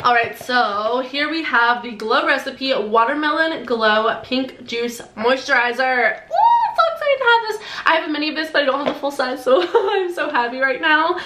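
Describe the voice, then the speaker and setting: Young woman beauty vlogger, nasal and a bit congested, bright tone, fairly high, echoey room. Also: slight background noise. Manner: bubbly, gushing, quick asides. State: sore throat, congested. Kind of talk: casual product unboxing to camera. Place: decorated bedroom, indoors.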